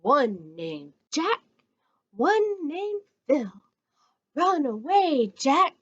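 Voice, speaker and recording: High, slow, sing-song American woman. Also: big pitch swings, no room sound. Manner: sing-song, encouraging, addresses children directly. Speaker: children's storytime presenter. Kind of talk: children's read-aloud and counting rhymes. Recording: dull lo-fi audio.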